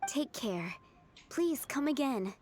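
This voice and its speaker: An actress voicing a kind young female cook in a video game, speaking in a gentle, earnest way. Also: high-pitched, expressive, slow, soft background music.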